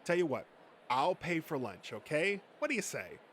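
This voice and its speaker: A man's voice: on the high side, very animated, normal speed, fairly bright tone, a little hiss or hum. A man voicing an anxious male nerd in a comic dub, a pleading and hesitant peacemaker. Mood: hopeful. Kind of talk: comic dub character acting.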